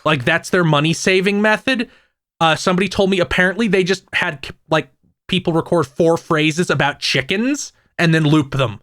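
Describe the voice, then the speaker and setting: A man with a fairly high voice chats about games on a podcast, rambling along with plenty of restarts and asides. The sound is dry and close, with no room around it. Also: a bit bright, no echo. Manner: rambling, many restarts and fillers, quick asides. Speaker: podcast co-host. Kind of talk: casual gaming podcast chat.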